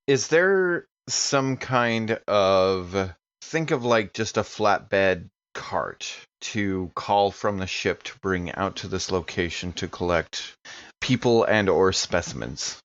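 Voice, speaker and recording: A man's voice: low-pitched, lively intonation, slow, fairly bright tone, no room sound, muffled recording. A man talking casually as a player in a tabletop game.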